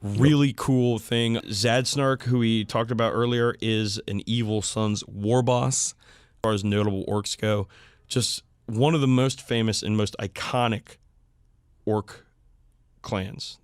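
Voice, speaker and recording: A man's voice: lowish voice, steady tone, a little slow, no echo, clean studio sound. A man co-hosting a hobby podcast, talking casually and enthusiastically, with some swearing. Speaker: podcast co-host.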